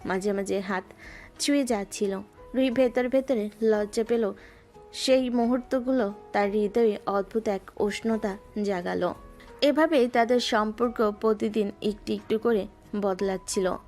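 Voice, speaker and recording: A woman narrating a romantic story in a soft, even storytelling manner. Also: medium pitch, measured pace. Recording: music underneath.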